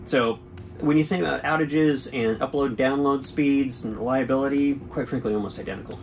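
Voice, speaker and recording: A man's voice: mid-range voice, normal speed, low-quality recording. A man in his forties hosting a boating channel, chatting through a product review from a boat cabin with an easygoing, explanatory manner and frequent fillers.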